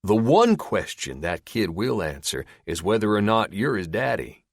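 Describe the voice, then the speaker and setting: A man putting on a taunting backwoods male captor, folksy, rambling, lively delivery. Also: lowish voice, moderate pace, no echo, clean studio sound.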